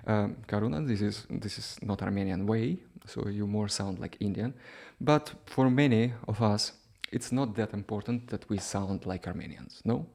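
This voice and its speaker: A man's voice: lowish voice, vocal fry, darkish tone. A man, a non-native English speaker, giving an informal music lesson in a hesitant, explanatory way with little check-in questions. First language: not English. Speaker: duduk teacher. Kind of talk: informal instructional talk.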